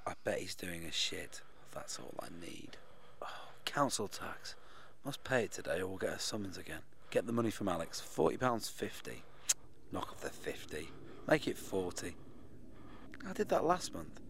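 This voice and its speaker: A man's voice: low voice, sing-song, normal speed, vocal fry, background noise, full character voice. An actor playing a frazzled, grumpy young British office man thinking aloud in an audio drama. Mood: muttering, stressed. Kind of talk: stream-of-consciousness audio drama.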